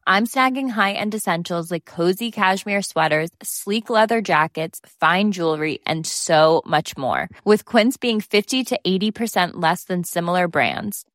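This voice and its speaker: A woman's voice: on the low side, expressive, measured pace, hardly any pauses, a bit bright, dry recording, clean studio sound. A woman delivering a scripted podcast advert, listing products and speaking straight to the listener. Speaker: advertisement presenter.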